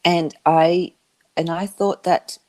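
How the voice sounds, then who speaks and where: A woman who learned English as a second language, answering interview questions about her business in a halting way, with many restarts. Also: lowish voice, fairly even intonation, a little mellow. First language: not English. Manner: halting, with frequent restarts and fillers. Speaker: interview guest, media business founder.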